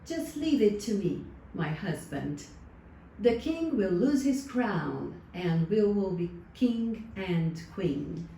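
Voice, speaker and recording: A woman's voice: medium pitch, very animated, slow pace, creaky, mellow, exaggerated voice. A woman voicing a false, scheming lady who coaxes and pleads dramatically in a folktale. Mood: sly, confident. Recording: distant, roomy sound with faint noise.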